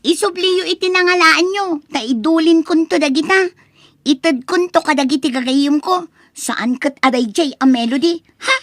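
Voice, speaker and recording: A woman's voice: very high voice, normal speed, a bit bright, dry recording, clean studio sound. A woman acting a spoiled, haughty college-age daughter in an Ilocano radio drama, whiny and scornful, with an Ilocano accent. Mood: petulant, indignant.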